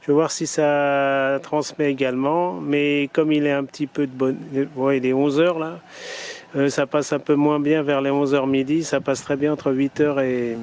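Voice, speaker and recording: Guy on ham radio outside, kinda high voice, hesitant n repeats himself, bit of road noise. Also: a little mellow. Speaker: amateur radio operator. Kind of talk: casual ham radio chat. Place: outdoors, by road or vehicle. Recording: noisy outdoor recording with traffic.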